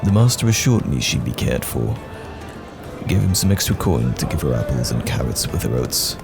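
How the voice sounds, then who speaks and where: A man acts out a roaming fantasy knight with an extremely low voice that moves expressively. He is courteous yet guarded and wry, choosing old-fashioned words with care. Music plays behind him in this audio roleplay. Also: very animated, normal speed, gravelly, full character voice. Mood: relaxed, appreciative.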